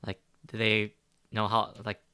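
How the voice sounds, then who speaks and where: A man's voice: fairly low pitch, completely flat delivery, slow pace, bright, forceful tone, clean tone, no room sound, clean studio sound. A man chatting casually on a podcast, hesitant and full of fillers and restarts. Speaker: podcast co-host. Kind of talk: casual chat.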